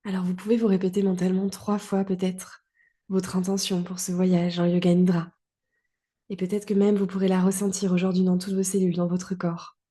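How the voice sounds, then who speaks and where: A woman guiding a relaxation meditation as a yoga teacher, calm and soothing, in short phrases with long pauses. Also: lowish voice. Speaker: yoga nidra guide.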